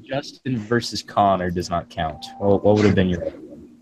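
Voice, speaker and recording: A man's voice: low voice, normal speed, background noise. A man speaking as a host in a casual group discussion, giving his commentary.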